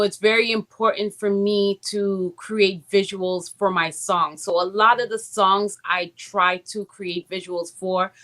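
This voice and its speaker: A woman's voice: mid-range voice, fairly bright tone. A woman recording artist from Trinidad and Toronto, talking about her music in a casual interview, thoughtful and full of "um" and "you know". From born in Trinidad, raised in Toronto. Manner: reflective, with frequent "um" and "you know".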